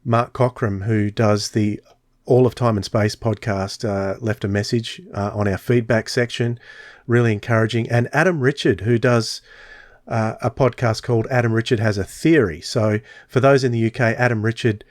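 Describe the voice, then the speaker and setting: A man's voice: on the low side, steady tone, moderate pace, no echo, studio quality. Chatty man hosting a podcast. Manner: chatty, storytelling, many fillers. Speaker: podcast host. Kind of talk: casual podcast chat.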